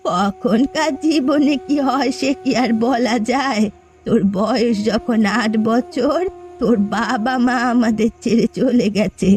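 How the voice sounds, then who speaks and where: High-voiced doting old grandmother woman acting. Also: darkish tone, close to an everyday voice. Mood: wistful. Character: doting, teasing elderly grandmother. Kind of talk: cartoon voice acting.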